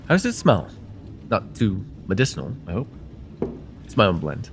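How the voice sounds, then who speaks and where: A man playing a courteous nocturnal vampire therapist in an ASMR roleplay, speaking formally and gently to the listener in a soothing, slightly hesitant way. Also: low voice, huge pitch swings, moderate pace, a little hiss or hum, heavily acted. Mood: soothing, attentive.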